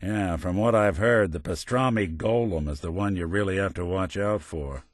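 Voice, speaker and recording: An actor playing a world-weary, wisecracking robot private detective in a video game, with an American accent and a wry, streetwise gumshoe delivery. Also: male voice, sits low, normal speed, no echo, clean studio sound. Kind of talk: scripted game dialogue in a hardboiled noir detective manner.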